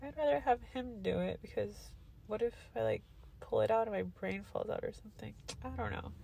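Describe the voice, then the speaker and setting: A woman's voice: fairly high pitch, a little fast, creaky, dark tone, slight background noise. Groggy woman with a stuffed, nasal voice. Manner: loopy and drowsy from medication. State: nasal, congested after sinus surgery. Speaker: vlogger. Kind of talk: casual recovery vlog. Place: parked car. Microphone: phone at arm's length.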